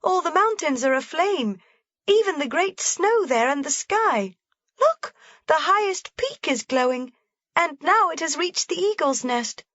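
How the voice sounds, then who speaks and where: A woman voicing an excited little mountain girl, eager and exclaiming in a high voice. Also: slow pace, dry recording, muffled recording, exaggerated voice. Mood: awestruck.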